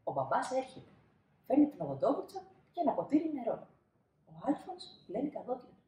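Woman reading kids story, very lively intonation, dramatic pauses, roomy echo. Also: high voice, lo-fi sound. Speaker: storybook reader. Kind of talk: expressive children's storybook narration. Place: small indoor room, seated.

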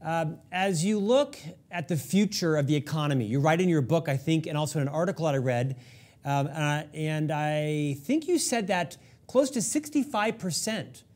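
A middle-aged man with a slightly high voice hosts an interview from a studio, wearing a lapel mic. His questions are conversational yet prepared, phrased deliberately and with emphasis. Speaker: podcast host, interviewer. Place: indoor studio set.

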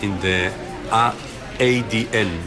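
A middle-aged man from the Basque Country speaks English as a second language, building his points through emphatic, repeated lists. His voice sits fairly low. Music plays clearly behind him in a newsroom. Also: slow pace. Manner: emphatic, repetitive lists, searching for words. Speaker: Basque newspaper figure. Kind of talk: interview in English. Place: busy newsroom office.

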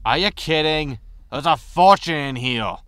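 A man's voice: fairly high pitch, animated, measured pace, a bit bright, clear, resonant tone, no room sound. A man playing a greedy, breezy, disgraced space haulage captain in a sci-fi comedy audio drama, flippant and full of excuses. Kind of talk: science fiction comedy audio drama acting.

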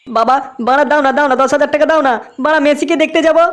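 A man's voice: very high-pitched, steady tone, racing, a bit bright. A man voicing a cheeky, nagging, foul-mouthed cartoon son. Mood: pleading, defiant. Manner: nagging, boastful, cursing. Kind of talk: comic cartoon dubbing.

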